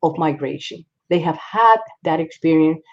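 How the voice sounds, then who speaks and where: A woman from the Republic, speaking as a psychologist guest in a panel discussion, halting, with many fillers and restarts. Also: deep voice. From Dominican Republic. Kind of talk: panel discussion on a video call. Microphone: video call. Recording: dull lo-fi call audio.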